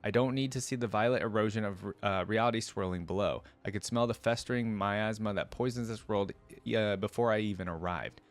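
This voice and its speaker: A man's voice: fairly low pitch, brisk, few pauses. A man giving reflective reaction commentary and reading game lore aloud in a casual style.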